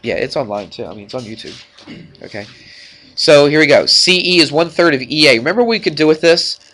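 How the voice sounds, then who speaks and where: A man's voice: fairly high pitch, lively intonation, fast talker, fairly bright tone. A man with a stuffed-up nose teaching math to a class, talking informally and checking in with frequent questions. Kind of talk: classroom worked-problem lesson.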